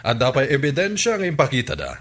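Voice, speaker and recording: Man acting a lively-toned town official. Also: medium pitch, fast talker. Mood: businesslike. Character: earnest, official town hall employee. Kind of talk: Ilocano radio comedy drama acting.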